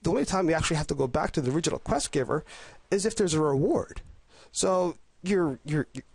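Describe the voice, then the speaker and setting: A man with a cold, sounding congested and a little nasal, giving casual voice-over commentary on a game guide, enthusiastic but halting with frequent restarts. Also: on the high side, lively intonation, vocal fry, darkish tone, breathy edge, dry recording. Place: at home.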